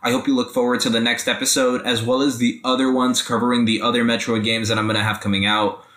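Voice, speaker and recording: A man's voice: medium pitch, level tone, fast talker, a bit bright, reverberant. A young man hosting a casual solo podcast, rambling and hesitant with many fillers, his voice tired from a sore throat. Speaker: podcast host.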